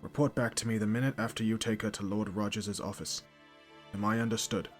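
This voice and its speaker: A male actor plays a stern but fatherly commander in a fantasy audio drama. His voice is low and his delivery formal and authoritative, with music clearly playing beneath it. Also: fairly even intonation, brisk, lightly acted. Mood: stern, measured. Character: stern fatherly military commander briefing a young squire. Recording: music under the voice.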